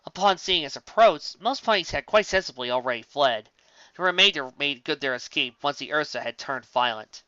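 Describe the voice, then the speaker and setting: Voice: mid-range voice, expressive, brisk, sharp tone, no echo, lo-fi sound. A narrator reading a fantasy story aloud as scripted narration, occasionally stumbling over the words.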